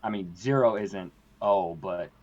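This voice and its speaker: A man's voice: deep voice, a little slow, darkish tone, lo-fi sound. A young man chatting casually with a friend, giving dry, teasing short replies. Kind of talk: casual chat.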